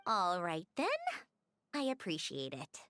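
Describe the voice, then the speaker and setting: Very animated, determined young heroine. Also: female voice, on the low side, measured pace, no echo, full character voice. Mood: polite. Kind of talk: video game voice acting.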